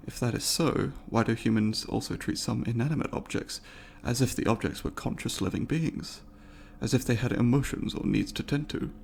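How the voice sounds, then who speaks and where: A man voicing a curious, courteous alien researcher in an ASMR roleplay, speaking formally and deliberately, with academic wording and a gravelly voice. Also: medium pitch, a little mellow, slight background noise, lightly acted. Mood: earnest, puzzled. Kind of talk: ASMR roleplay, scripted monologue to the listener.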